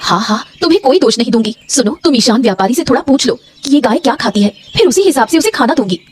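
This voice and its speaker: A woman's voice: high voice, lively intonation, fast, slight background noise. A woman voicing a dramatic, emphatic female character in a moral-story cartoon. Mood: calm. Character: animated storybook women: princess, wife, sweet seller.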